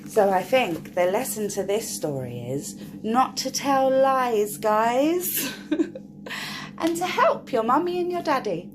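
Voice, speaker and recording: A woman's voice: fairly high pitch, animated, takes it slowly, background noise. A woman reading a picture book aloud expressively for young children, drawing out key words and speaking to the listeners. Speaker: children's storyteller.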